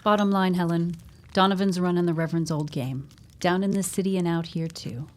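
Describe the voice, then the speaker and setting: A gossipy neighbour woman from a period audio drama, played by an actress. Her voice sits a little low. She speaks in a confiding, matter-of-fact way, knowing and suspicious of everyone. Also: steady tone, brisk, a little hiss or hum, lightly acted. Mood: insistent, knowing.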